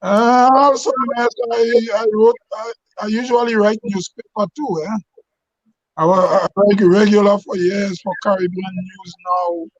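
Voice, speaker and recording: A Grenadian man speaking as a guest writer on a talk show, halting and restarting his phrases. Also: sits high, steady tone, no echo. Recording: dry, dull lo-fi sound.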